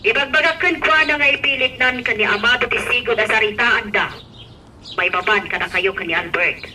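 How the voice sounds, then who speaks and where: An actress playing an earnest middle-aged aunt in a radio drama, with a Filipino accent. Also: female voice, a little high, fairly quick, pushed and bright, recorded from a distance, slight background noise, low-quality recording. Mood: grave, explaining.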